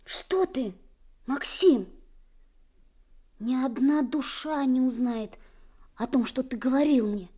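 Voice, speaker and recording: A woman's voice: sits high, takes it slowly, long pauses, dark tone, breathy edge, exaggerated voice. A woman playing a kind-hearted, earnest little boy in a radio drama. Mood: earnest, eager. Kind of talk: Soviet radio drama acting.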